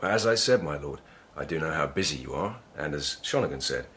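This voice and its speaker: Courteous, very deep-voiced police lieutenant. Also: male voice, lively intonation, fairly bright tone, slightly rough, heavily acted. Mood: polite, probing. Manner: courteous, measured, deferential yet probing. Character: polite, persistent, humble-born police lieutenant at court. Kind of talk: radio drama acting.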